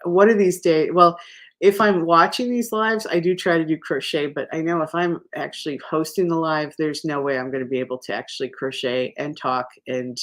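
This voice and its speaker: A woman's voice: low voice, steady tone, normal speed. A woman hosting a live crochet stream, chatting casually and rambling with many fillers, restarts and easy laughs.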